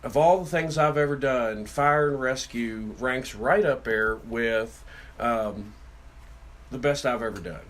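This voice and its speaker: A man's voice: mid-range voice, a little slow. A middle-aged man co-hosting a casual talk podcast, telling rambling folksy stories in a big voice that carries. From Virginia, USA. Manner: folksy, rambling storyteller, loud voice. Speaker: podcast co-host, city councilman. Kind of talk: casual chat.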